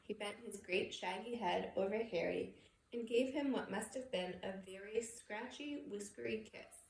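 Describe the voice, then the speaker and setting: A woman's voice: medium pitch, normal speed, vocal fry. Echoey woman reading a story aloud. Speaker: storybook reader. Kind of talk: expressive read-aloud narration of a novel. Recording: echoey room with background noise.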